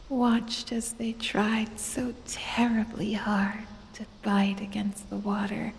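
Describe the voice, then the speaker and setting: A woman voicing a sinister lamia, a predatory snake-woman, in breathy whispers with a falsely sweet, possessive menace for an ASMR audio roleplay. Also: mid-range voice, slight background noise. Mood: gloating, cruel. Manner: drawn-out, softly taunting, falsely sweet, possessive. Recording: long cavernous reverb.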